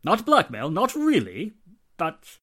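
An actor playing a nervous, prissy, evasive murder suspect in a 1940s-style radio drama, flustered and theatrically precise. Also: male voice, high-pitched, huge pitch swings, takes it slowly, pushed and bright, full character voice. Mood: defensive.

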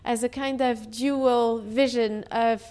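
High-voiced, slow-speaking woman interviewer. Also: fairly even intonation, darkish tone, smooth. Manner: long, carefully built questions. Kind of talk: measured interview questions.

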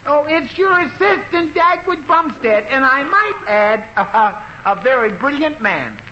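High, dithery, boyish radio husband. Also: male voice, lively intonation, unhurried, sharp tone, full character voice. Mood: nervous, boastful. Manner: stammering, dithery, full of uhs. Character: bumbling, boyish, eager American husband. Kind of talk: 1950s radio situation comedy acting. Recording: muffled, hissy old radio recording.

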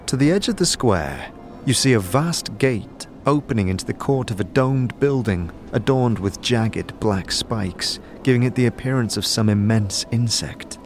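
A man's voice: medium pitch, animated, unhurried. A man narrating a scripted fantasy story as a storyteller. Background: music.

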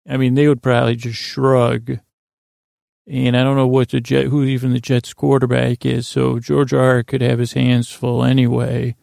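A man hosting a bedtime podcast in a creaky, gravelly voice, rambling and meandering at a languid, halting pace to lull listeners to sleep. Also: medium pitch, a little mellow, dry recording, clean studio sound. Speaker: podcast host.

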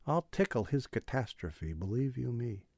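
A man's voice: mid-range voice, big pitch swings, moderate pace, gravelly, darkish tone, no echo, clean studio sound, pitched above the performer's own voice. A man voicing an angry, scornful Irish father in an audiobook novel, indignant and emphatic. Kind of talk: audiobook character dialogue.

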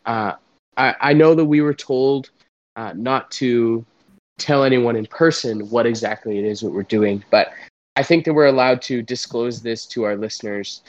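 A young Canadian man co-hosting a podcast from home, speaking slowly and solemnly in a deadpan tone. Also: medium pitch. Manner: slow, drawn-out, solemn. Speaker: podcast co-host. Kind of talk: deadpan mock-serious comedy chat. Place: home, remote recording. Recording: dull, dry, lo-fi sound.

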